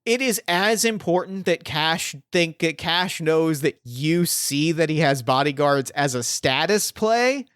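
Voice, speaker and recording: Higher-voiced man running a tabletop game. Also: expressive, measured pace, hardly any pauses, a bit bright, clean tone, no echo, clean studio sound. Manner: rambling, thinks aloud with many fillers. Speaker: game master.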